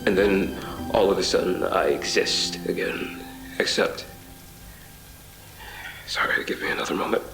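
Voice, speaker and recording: Audio drama, male actor, low-voiced and slow: a shaken, apologetic witness giving hushed, halting testimony of an ordeal. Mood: pained, struggling. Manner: halting, hushed, breathy pauses, reflective. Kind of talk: intimate first-person audio drama monologue. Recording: roomy echo with music bed. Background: music.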